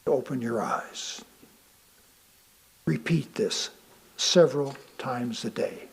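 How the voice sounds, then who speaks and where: A man reading a sermon aloud from a script, deliberately and with emphatic pauses. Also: mid-range voice, lively intonation, vocal fry, slightly rough. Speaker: lay reader of a sermon.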